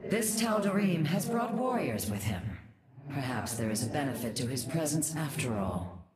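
A woman's voice: sits low, big pitch swings, moderate pace, heavy background noise. An actress voicing a stern, wary female alien matriarch in a video game, commanding and formal. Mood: guarded. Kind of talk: video game character acting.

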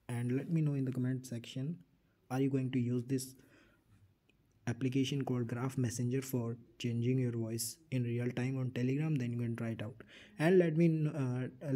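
A man's voice: medium pitch, warm tone. A man hosting a casual app tutorial, explaining things plainly step by step and repeating himself. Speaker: tutorial host.

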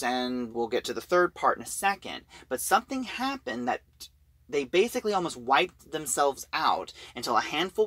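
A reviewer talking casually through a plot, halting and repeating phrases. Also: medium pitch, dry recording.

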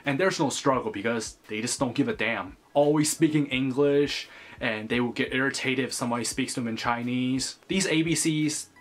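Earnest young American vlogger. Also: male voice, mid-range voice, normal speed. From United States. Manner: earnest, direct to camera. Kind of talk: scripted vlog commentary. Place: small bedroom indoors.

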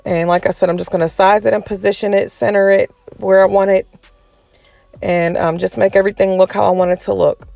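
A woman giving a casual step-by-step tutorial, sounding a little raspy and hoarse from a cold. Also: medium pitch, level tone, a little fast, dark tone, dry recording, soft background music. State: getting over a cold, slightly hoarse. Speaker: tutorial host.

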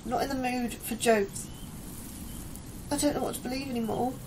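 A woman playing an anxious, higher-voiced scientist. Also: steady tone, moderate pace, noisy background, natural voice. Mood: troubled. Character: anxious, troubled young woman scientist confiding her fears. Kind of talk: audio drama acting, diary-like monologue and dialogue.

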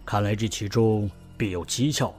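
A man playing a measured, storytelling family elder. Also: on the low side, a little mellow, faint music behind, natural voice. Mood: explaining. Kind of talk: fan audio drama acting.

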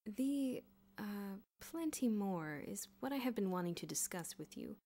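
A woman narrator takes on a strict male headmaster from a school storybook. He speaks formally and with measured authority. His tone is dry, with a sarcastic edge. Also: medium pitch, expressive, warm tone. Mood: stern, measured.

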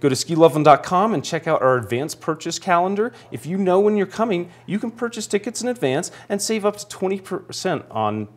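A man's voice: high-pitched, sing-song, fairly quick, hardly any pauses. A man in his thirties giving a casual, promotional interview as a spokesman in a TV studio, easygoing and a little salesy. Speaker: ski area spokesman, TV guest. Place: small TV studio, seated on couch.

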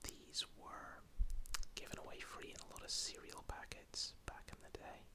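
A man in his thirties with a Northern Irish accent, whispering a rambling, self-deprecating ASMR guide close to the mic. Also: moderate pace. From Northern Ireland. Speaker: ASMR host. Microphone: close-up mic with wind pops.

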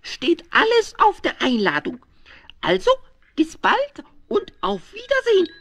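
A man's voice: very high voice, huge pitch swings, a little slow, creaky, fairly bright tone, full character voice. A man voicing a bratty, boastful little dinosaur child who plays king, giggly and drawling, in a 1970s children's radio play. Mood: cheeky, gleeful. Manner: gleeful, boastful, giggly, stretching his words.

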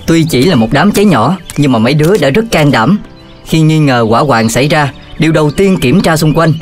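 A man's voice: on the high side, animated, a little fast, soft background music, natural voice. A man with a Southern Vietnamese accent voicing a kindly firefighter who instructs children in a cartoon. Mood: kindly, instructive. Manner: encouraging, instructive.

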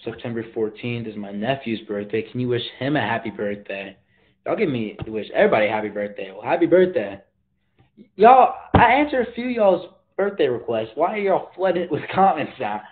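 A man's voice: medium pitch, expressive, normal speed. A teenage boy hosting a casual livestream from his bedroom, talking in a slangy, laid-back way and reading viewer comments aloud, filmed on a phone at arm's length. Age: teens. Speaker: livestream host. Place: bedroom, indoors. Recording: tinny phone-like audio.

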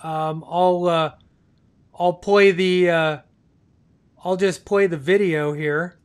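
A middle-aged man hosting a live video chat, courteous and halting as he talks with his guests. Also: high-pitched, steady tone, clear, resonant tone. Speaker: live show host. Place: small home office, indoors.

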